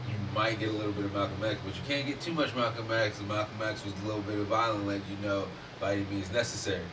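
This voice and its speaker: A man talks in a casual, animated way, pressing his points with emphasis and the odd curse. He sits some way from the microphone, and steady background noise runs under his voice. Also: on the low side, quick pace. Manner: animated, emphatic, swears freely. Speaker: podcast host. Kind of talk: casual discussion. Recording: distant mic with noticeable background noise.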